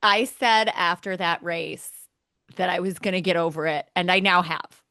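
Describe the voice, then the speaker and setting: Woman, lowish voice, casual sports podcast co-host, emphatic fan talk, close dry sound. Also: moderate pace, bright, forceful tone, no echo.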